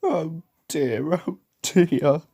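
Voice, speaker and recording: A man's voice: mid-range voice, lively intonation, slow, a little mellow, pitched above the performer's own voice. A man voicing a proud, emotional and determined steam engine with a puffing, exclamatory manner for a storybook. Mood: sad.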